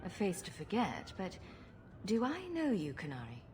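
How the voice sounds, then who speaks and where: An actress playing a sarcastic young rogue heroine in a video game, dry and teasing. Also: female voice, fairly low pitch, wildly swooping pitch, moderate pace, background noise. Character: wry, sarcastic young woman rogue hero. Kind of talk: video game character acting.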